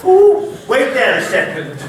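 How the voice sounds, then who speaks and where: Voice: mid-range voice, wildly swooping pitch, unhurried, a little hiss or hum. A performer playing a gossipy, jokey royal nanny, a pantomime dame with a cooing, exaggerated comic manner. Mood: bustling. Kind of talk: theatrical pantomime acting. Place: stage in a theatre hall. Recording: echoey hall with audience, from far off.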